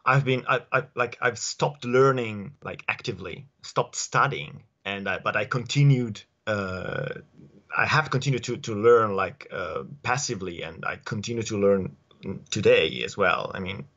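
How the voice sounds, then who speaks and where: Italian man, low voice, lo-fi call. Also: bright, forceful tone. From Italy. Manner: halting, many restarts and fillers. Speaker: guest. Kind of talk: casual interview answers. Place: home study with bookshelves. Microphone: earphone headset mic on video call. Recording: dull lo-fi video call audio.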